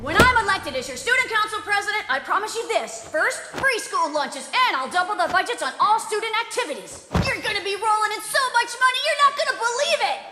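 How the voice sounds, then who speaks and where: Echoey noisy hall, scheming anime schoolgirl, extremely high voice, bombastic, smug. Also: lively intonation, fast, hardly any pauses, loud music behind the voice. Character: brash, scheming teenage schoolgirl politician. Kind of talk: anime English dub acting.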